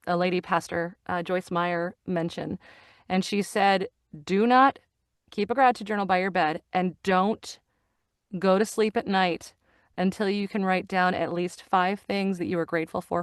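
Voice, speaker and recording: A woman's voice: fairly low pitch, fairly even intonation, a little slow, clear, resonant tone, no room sound, clean studio sound. A middle-aged woman, a voice actor and narrator, talking earnestly in a casual interview, often restarting and repeating phrases as she searches for words. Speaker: guest, voice actor and audiobook narrator. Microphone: studio microphone.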